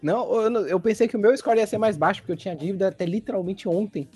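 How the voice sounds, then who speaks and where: Male podcast co-host, Brazilian accent, slightly high voice, jokey sweary banter over background music. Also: rapid-fire, a little mellow, husky. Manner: joking, slangy and profane. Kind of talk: casual banter about video games and anime.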